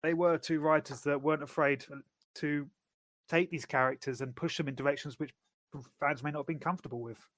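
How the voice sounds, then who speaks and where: Male podcast co-host; fairly high voice, dry, thoughtful delivery. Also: steady tone, brisk, a bit bright, dry recording. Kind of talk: casual chat.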